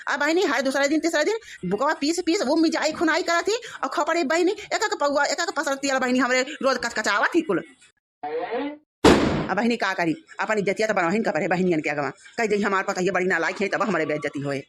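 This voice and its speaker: A woman's voice: fairly high pitch, fairly quick, slight background noise, close to an everyday voice. A woman with an Awadhi accent voicing a flustered, fawning village mother-in-law in a comedy cartoon, talking effusively and repetitively. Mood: effusive, flattering. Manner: effusive, repetitive, swinging to shouted orders. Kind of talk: rural family comedy cartoon.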